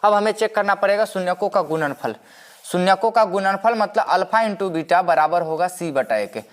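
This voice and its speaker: A man's voice: high-pitched, expressive, moderate pace. A man giving a maths lecture as a teacher, explaining step by step, repeating himself and posing rhetorical questions. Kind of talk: step-by-step classroom-style lecture.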